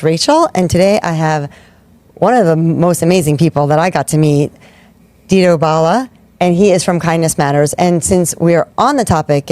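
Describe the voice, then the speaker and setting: A woman's voice: low-pitched, dark tone. A woman in her forties hosting a podcast interview, effusive and enthusiastic, affirming her guest often. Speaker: podcast host. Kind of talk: interview conversation.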